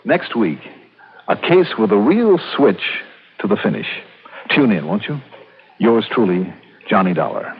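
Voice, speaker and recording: Tinny old radio sound with music behind, and a shrewd American detective. His voice is low and swings widely as he tells his case in a clipped, wry, dramatic way. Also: big pitch swings, unhurried, long pauses, breathy edge, full character voice. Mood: grave, clipped. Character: shrewd, hard-boiled male insurance investigator narrating his case. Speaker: lead actor and star of the radio series.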